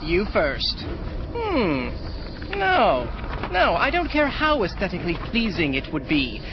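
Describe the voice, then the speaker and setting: Fussy high-voiced angel overseer. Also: male voice, huge pitch swings, slow pace, heavily acted. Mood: brusque, exacting. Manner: brusque, officious, exacting. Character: busy, fussy, impatient senior angel overseeing creation. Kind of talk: audio drama acting. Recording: dull sound over clear background noise.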